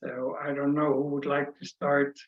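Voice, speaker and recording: A man's voice: on the high side, monotone, normal speed. A man facilitating an online meeting, speaking informally with polite, hesitant phrasing. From Netherlands. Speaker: session facilitator. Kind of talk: unscripted introduction at an online meeting. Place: remote video meeting. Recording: echoey, dull online meeting audio.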